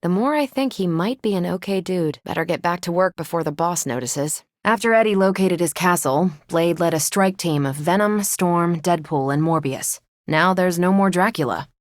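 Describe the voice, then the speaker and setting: An actress voicing a confident, wry, tough but kind superhero soldier in a video game, with an American accent and a dry, casual delivery. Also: female voice, lowish voice, expressive, moderate pace, few pauses, dry recording, close to an everyday voice. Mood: matter-of-fact. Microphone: close, dry studio microphone.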